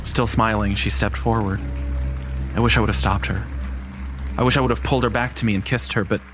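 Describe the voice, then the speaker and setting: A man's voice: on the low side, fairly even intonation, a little fast, over music. A man narrating a scripted first-person horror story.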